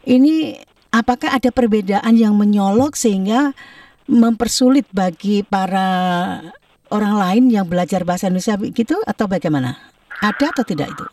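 A woman's voice: mid-range voice, animated, normal speed, mellow, recorded from a distance. A woman hosting a radio program, asking friendly, chatty questions. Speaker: radio host. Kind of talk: radio interview. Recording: dry voice, a bit off-mic.

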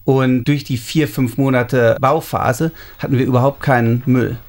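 A man in his thirties from Germany, calmly explaining details in a casual interview. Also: mid-range voice. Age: 30s. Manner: explanatory, unhurried, describing details. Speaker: interviewee, homeowner.

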